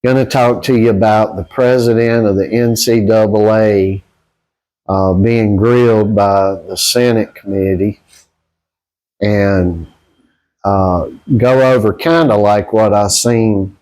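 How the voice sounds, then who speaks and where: A man with a Southern US accent giving casual, rambling opinions to viewers in a halting, folksy, blunt way. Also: on the low side, fairly even intonation, darkish tone, low-quality recording. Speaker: boxing channel host.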